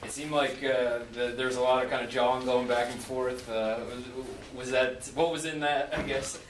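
A man asking informal questions as a sports reporter at a press conference, haltingly rephrasing as he goes. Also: medium pitch, fairly even intonation, recorded from a distance. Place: indoor press conference room. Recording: echoey, noisy room, off mic.

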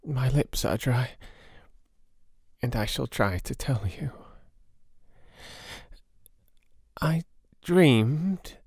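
A man voicing a dying asylum patient, weak, breathy and halting, pleading urgently. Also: mid-range voice, animated, darkish tone, dry recording, studio quality, voice pushed up, full character voice. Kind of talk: audiobook character voice.

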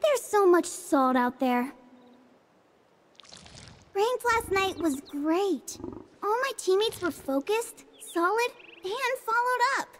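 A woman's voice: very high voice, lively intonation, slow, long pauses, slight background noise, full character voice. A woman voicing a cocky, competitive and playful young girl champion in a video game, with brash, clipped delivery. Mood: gleeful, taunting. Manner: brash, taunting, clipped commands. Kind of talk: video game voice pack callouts.

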